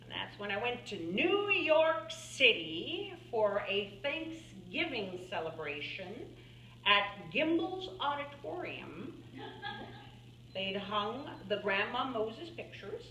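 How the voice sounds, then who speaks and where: A woman playing an elderly, folksy farm-woman painter in a theatrical monologue, halting and musing, plainspoken and wry as she reminisces. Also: medium pitch, big pitch swings, sharp tone, full character voice. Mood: amused, animated. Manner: halting, musing, with small chuckles and pauses. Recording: roomy, distant mic, faint background noise.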